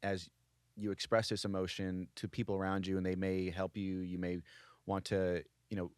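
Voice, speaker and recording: A young man co-hosting a podcast, reflective and measured in casual conversation. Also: low voice, flat delivery, clean tone, no room sound, studio quality. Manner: reflective, measured, thinks aloud. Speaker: podcast co-host.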